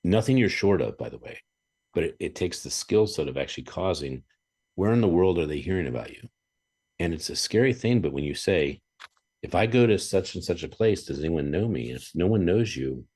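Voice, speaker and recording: A man hosting a podcast, chatting casually and telling animated stories with chuckles and frequent throat-clearing. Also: sits low, fairly quick. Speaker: podcast host. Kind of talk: casual interview chat.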